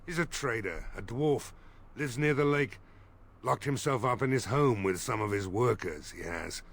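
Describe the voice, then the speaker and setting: An actor playing a weary, worried village mayor in a fantasy game, with game music behind him. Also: male voice, mid-range voice, normal speed, gravelly, a bit bright. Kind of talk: video game voice acting.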